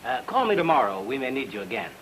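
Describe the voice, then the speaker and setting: A man's voice: mid-range voice, sing-song, fast talker, close to an everyday voice. A man acting a polite, mild, eager helper in a film noir radio drama. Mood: obliging. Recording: old radio recording, faint hiss.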